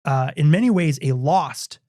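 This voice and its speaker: Podcast co-host, male, higher pitch, loose rambling talk full of ums. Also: expressive, measured pace, dark tone. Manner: rambling, many fillers and restarts. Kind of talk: casual chat.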